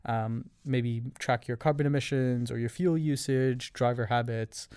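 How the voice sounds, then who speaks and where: A man from Canada speaking as a podcast guest, with a smooth, clear voice in a dry, close recording. He is measured and breaks his explanations into ordered points. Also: medium pitch, steady tone, darkish tone, dry recording. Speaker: guest, revenue operations leader. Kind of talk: interview answers.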